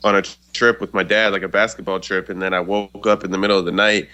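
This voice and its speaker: Canadian guy in his 20s, low flat voice, really bright and smooth. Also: quick pace. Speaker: guest rapper. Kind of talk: phone-in interview.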